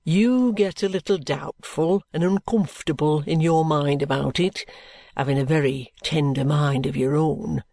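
A reader voicing a sly, genial middle-aged male detective with a cheerful, coaxing, unhurried manner. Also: mid-range voice, a little mellow, no echo, lightly acted. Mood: wheedling. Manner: cheerful, coaxing, leisurely drawl. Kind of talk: audiobook character reading.

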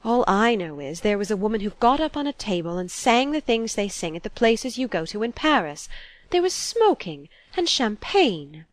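A woman's voice: medium pitch, expressive, moderate pace, no echo, close to an everyday voice. A woman reading a storybook as a proper, disapproving older society mother, genteel and insistent. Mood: indignant, disapproving.

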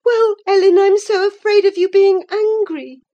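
A woman's voice: high-pitched, unhurried, warm tone, lo-fi sound, voice pushed up. A woman voicing an anxious, pleading teenage girl in an audiobook, earnest as she confesses to her nurse. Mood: tearful pleading. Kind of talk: dramatised audiobook character voice.

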